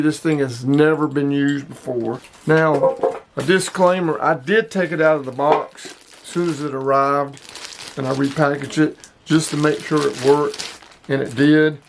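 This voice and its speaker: A man's voice: a little high, gravelly, noisy background. A man in his sixties hosting a casual hobby product showcase, speaking emphatically and repeating himself to drive points home. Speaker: host of a hobby radio video.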